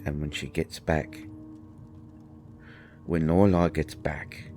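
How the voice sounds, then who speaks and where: Man acting a deep, slow-spoken grieving fairy husband. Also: flat delivery, faint music behind. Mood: wistful, grieving. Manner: halting, emotional, swinging from snappish outbursts to quiet murmurs. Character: grieving fairy husband, gruff, defensive and wounded. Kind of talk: roleplay audio drama monologue.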